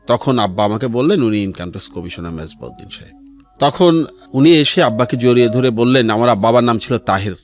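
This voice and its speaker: Lively middle-aged Bangladeshi man telling stories. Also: mid-range voice. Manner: measured storytelling with dramatic pauses and quoted dialogue, occasional English words. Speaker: interview guest, civil servant. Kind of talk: personal life storytelling in an interview. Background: background music.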